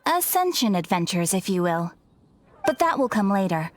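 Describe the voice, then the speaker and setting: A woman's voice: medium pitch, very animated, lightly acted. An actress voicing a poised, helpful female adventurers' guild guide in a video game, speaking formally and instructively. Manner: formal, instructive, measured phrasing.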